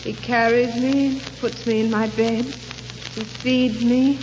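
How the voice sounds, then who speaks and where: An actress in an old radio drama playing a timid, anxious invalid wife who speaks in a faltering, plaintive way. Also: female voice, fairly high pitch, steady tone, takes it slowly. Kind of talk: 1930s radio drama acting. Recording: muffled, hissy 1930s radio recording.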